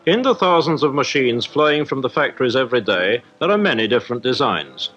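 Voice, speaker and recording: A man's voice: medium pitch, big pitch swings, brisk, fairly bright tone, slight background noise. A man with a British accent giving a formal, explanatory scripted report as a 1950s newsreel correspondent. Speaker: industrial correspondent. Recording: dull 1950s newsreel soundtrack, music behind. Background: music.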